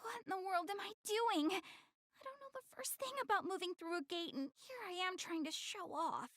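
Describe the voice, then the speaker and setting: An actress voicing a proud, easily flustered young anime goddess in a video game, haughty yet earnest in manner. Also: female voice, extremely high pitch, normal speed, fairly bright tone, no room sound, clean studio sound. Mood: embarrassed. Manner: haughty, stammers when flustered, explains things earnestly. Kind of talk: English anime game voice acting.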